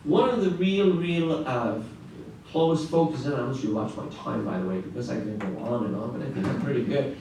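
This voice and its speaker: A middle-aged man from Norway giving a conference talk as a classical guitarist, telling stories in an animated way with frequent restarts and "right?" asides. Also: medium pitch, fairly quick. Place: large echoey conference room. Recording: echoey room, distant mic, faint noise.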